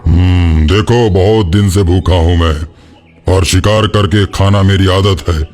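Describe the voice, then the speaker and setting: A man's voice: sits low, unhurried, a little mellow. A man voicing a proud, dutiful cartoon lion king with a firm, solemn manner. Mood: gruff, unrepentant. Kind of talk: children's cartoon acting. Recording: music behind the voice.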